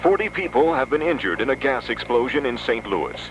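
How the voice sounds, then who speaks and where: A man reading a scripted 1970s radio news bulletin as anchor, in a formal, measured announcer delivery. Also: medium pitch, expressive. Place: radio studio. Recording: noisy old 1970s radio aircheck.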